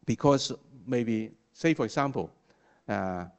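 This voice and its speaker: A man who is not a native English speaker preaching a sermon, talking in a halting way with many restarts and fillers and a habit of ending points with "okay?". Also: mid-range voice, lively intonation, clean tone.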